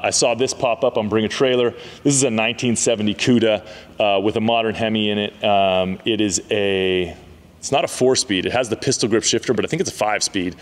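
A man in his thirties casually telling a story to camera in a large car garage, relaxed and chatty with many fillers. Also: fairly low pitch. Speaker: car dealership owner and channel host. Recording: reverberant garage hall.

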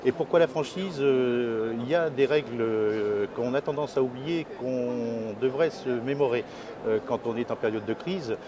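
A man in his fifties giving hesitant, measured interview answers as a company executive. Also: mid-range voice. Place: trade fair interview stand, indoors. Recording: clearly noisy exhibition hall ambience.

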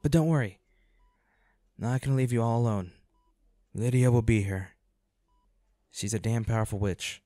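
A man's voice: mid-range voice, moderate pace, long pauses, mellow, no room sound, natural voice. A man acting a brooding, protective monster hunter, close and dry. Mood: tender, anxious. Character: devoted boyfriend, weary reluctant monster hunter, protective and menacing. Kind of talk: dramatic audio roleplay.

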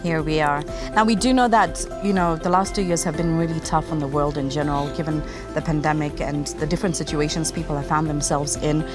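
A woman in her thirties from Kenya talks to camera in a garden, relaxed and chatty, laughing easily. Her voice sits a little low. Clear background music runs under her speech. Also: fairly quick. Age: 30s. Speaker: company co-founder. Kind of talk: casual presentation to camera. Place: outdoors in a garden. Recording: music playing behind.

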